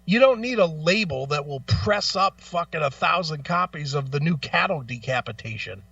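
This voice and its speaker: A man's voice: fairly high pitch, animated, moderate pace, vocal fry, pushed and bright, dry recording, recorded from a distance, highs cut off. A man hosting a talk show with blunt, emphatic, opinionated commentary, casual in style and repeating phrases for stress.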